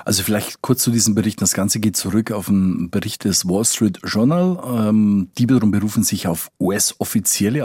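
A man with a husky voice explaining things conversationally as a correspondent in an interview, often repeating words as he goes. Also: fairly low pitch, darkish tone, no room sound, clean studio sound. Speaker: foreign correspondent interviewed as expert.

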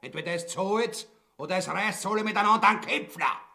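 Shrill, blustering Viennese tyrant king. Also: brisk, creaky, bright, forceful tone, heavily acted. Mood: gloating, ruthless. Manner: blustering, pompous, erratic swings from mutter to bellow. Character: greedy, bullying, cowardly usurper king. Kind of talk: grotesque radio comedy acting.

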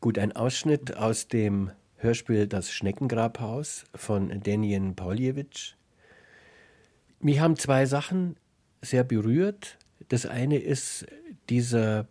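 A man interviewing on the radio about literature. His voice is mid-pitched and mellow, and he frames his questions in a thoughtful, analytical manner.

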